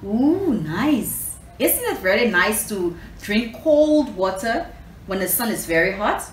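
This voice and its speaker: Female children's storyteller, unhurried and very lively in pitch, adds explanatory asides, roomy recording. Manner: expressive, explanatory, addresses listeners with questions. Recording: echoey room, distant mic, faint noise.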